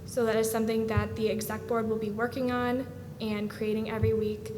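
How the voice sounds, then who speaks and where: Earnest teenage girl in an echoey boardroom. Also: on the high side. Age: late teens. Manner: steady and earnest, frequent "um" pauses. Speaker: student association president. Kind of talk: formal report to a school board. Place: school board meeting room. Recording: reverberant room with steady background noise.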